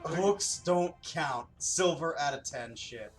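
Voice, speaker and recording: A man comments casually on a video game in a sarcastic, joking way. His pitch moves around a lot, and faint music runs behind his voice. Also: lively intonation, slow pace. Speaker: let's play commentator playing a video game.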